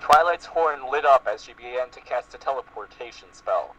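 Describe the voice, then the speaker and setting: A man's voice: medium pitch, moderate pace, a bit bright. A man giving a comedic dramatic reading of fan fiction, narrating and acting out the dialogue. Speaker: reader. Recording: lo-fi muffled audio, faint noise.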